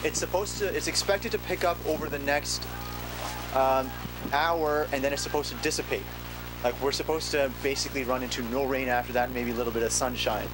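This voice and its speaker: A man doing relaxed, joking play-by-play commentary at an outdoor game, with plenty of fillers. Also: medium pitch, brisk, over music. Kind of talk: casual sports commentary. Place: outdoor sideline of a rainy field. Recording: noisy outdoor recording.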